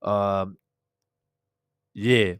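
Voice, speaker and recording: A hoarse man in his thirties talks into a desk mic in a small home room, laid-back and chatty as he greets his viewers. His voice stays smooth and resonant under the strain, with a dry, close sound and no room echo. He swears casually as he talks. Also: fairly low pitch, fairly even intonation, extremely slow. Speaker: boxing livestream host. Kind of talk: casual livestream chat. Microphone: studio desk mic with headphones.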